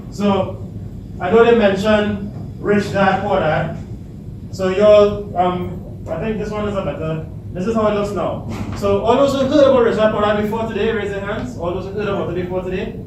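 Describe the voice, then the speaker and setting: A Trinidadian man with a big, loud voice, speaking to students at a workshop without a microphone and asking the audience questions. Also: sits high. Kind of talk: motivational talk to students. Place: large echoey hall, no microphone. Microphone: far camera. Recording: echoey hall, distant camera, audible noise.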